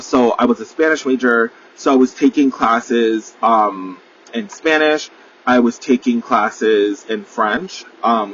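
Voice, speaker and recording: A young man rambles through a solo podcast, piling up fillers and casual swearing as he pokes fun at himself. His voice sits at an everyday middle pitch. The recording sounds a little muffled, with a faint hiss underneath. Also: a bit bright. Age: 20s. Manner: rambling, full of fillers, swears freely, self-deprecating. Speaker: podcast host.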